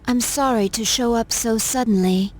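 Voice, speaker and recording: An actress voicing a gentle, earnest female android girl in a video game cutscene, speaking politely and softly. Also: on the high side, lightly acted. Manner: polite, soft-spoken, measured.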